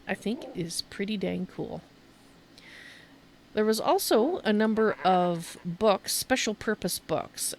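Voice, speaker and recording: A woman's voice: fairly low pitch, slight background noise. A woman giving a casual, chatty game tour as a streamer, thinking aloud as she shows things off. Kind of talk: casual gameplay commentary and show-and-tell.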